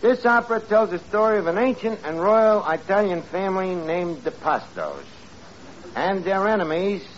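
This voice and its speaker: A man's voice: high-pitched, lively intonation, moderate pace. An actor in a 1940s radio comedy playing a brash, uneducated bar manager who mangles grammar and big words as he wisecracks. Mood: mock grand. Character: brash, uneducated wise-guy bar manager with grand pretensions. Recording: old muffled noisy radio recording, loud audience.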